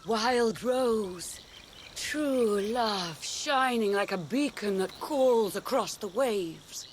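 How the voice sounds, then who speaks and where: A solemn, cryptic mystic. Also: female voice, on the high side, expressive, slight background noise. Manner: slow, measured, solemn. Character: mystic woman of a soul order, solemn and cryptic. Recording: game cutscene with music behind.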